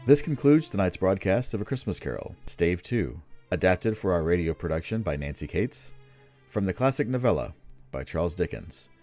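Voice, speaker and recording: A man's voice: low voice, very animated, normal speed, a little mellow, soft background music. A man reading scripted closing announcements as a broadcast announcer.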